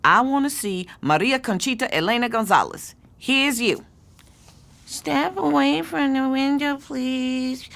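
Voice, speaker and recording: An actress playing a protective, bossy middle-aged American aunt who works as a supervisor, in a naturalistic audio drama, coaching and cajoling. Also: female voice, high-pitched, measured pace, creaky, a bit bright, full character voice. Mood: instructing.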